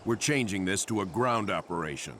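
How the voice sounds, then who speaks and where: An actor playing a steady, resolute warship captain in a video game, measured and authoritative. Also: male voice, on the low side, background noise, natural voice. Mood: commanding.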